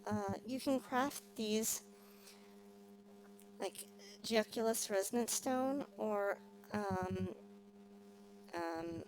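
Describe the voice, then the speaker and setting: A woman's voice: medium pitch, drawn-out words, pauses often, a little mellow, a little hiss or hum, poor audio. A woman streamer giving casual live gameplay commentary and chatting with viewers as she plays. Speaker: game streamer, VTuber. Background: game music.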